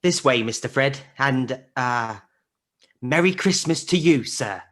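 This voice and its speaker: A man plays a meek, deferential clerk in a radio drama. He speaks very slowly and hesitantly, with a lilting, lively melody and a timid, kindly politeness. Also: medium pitch. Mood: polite, warm. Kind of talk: radio play acting.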